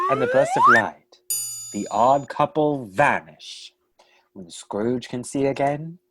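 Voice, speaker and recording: Slow, dry storybook narrator. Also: male voice, mid-range voice, fairly bright tone, dry recording, poor audio. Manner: indignant, exasperated asides. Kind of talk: radio play narration.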